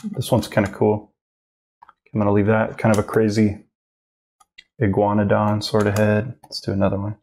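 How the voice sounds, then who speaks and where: Low gravelly man teaching drawing. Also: monotone, normal speed, pauses often, darkish tone, slight background noise. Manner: casual, rambling, thinks aloud while working. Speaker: art instructor. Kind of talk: live drawing demo narration. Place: home studio office.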